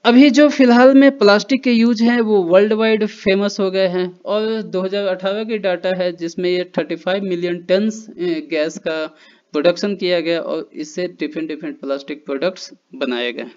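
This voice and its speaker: A man's voice: high-pitched, fairly even intonation, normal speed, a little mellow, clear, resonant tone. A man giving a loose, conversational explanation over slides, with fillers and restarts. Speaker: educational channel presenter. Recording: dull lo-fi voice-over.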